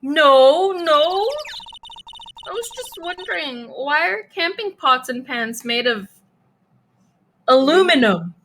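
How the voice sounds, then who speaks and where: A woman's voice: high-pitched, animated, slow pace, pauses often, a bit bright, full character voice. A woman playing a perky, naive and curious teenage girl sidekick in a live fan dub of a video game. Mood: inquisitive.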